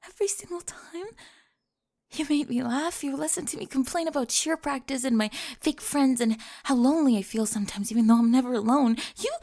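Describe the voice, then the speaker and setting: Casting: adult woman as teen cheerleader bully gone vulnerable, high creaky voice, breathy near-whisper, emotional ASMR roleplay confession. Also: a little mellow. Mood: flustered, disbelieving, then tender, moved. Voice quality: breathy, often dropping to a whisper. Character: high school cheerleader bully, remorseful, vulnerable, lovestruck teenage girl. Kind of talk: ASMR romantic roleplay monologue.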